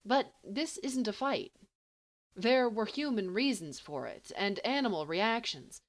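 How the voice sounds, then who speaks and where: Female audiobook narrator, scripted story reading, mid-pitched with animated, varied intonation. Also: measured pace. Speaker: audiobook reader.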